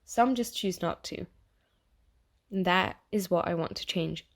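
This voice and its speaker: A woman's voice: fairly low pitch, a little slow. Chummy, coaxing AI in your head played by a woman. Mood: earnest, pressuring. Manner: chummy, casual, coaxing, passive-aggressive. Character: chatty, falsely friendly AI living in someone's mind, quietly menacing. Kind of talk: audio theatre monologue addressed to the listener.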